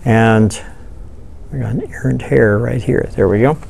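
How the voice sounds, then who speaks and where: An older man giving a relaxed, folksy fly-tying tutorial, thinking aloud as he works at a table in a studio. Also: medium pitch, measured pace, vocal fry, slightly rough. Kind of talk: hands-on tutorial. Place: studio with dark backdrop, seated at table.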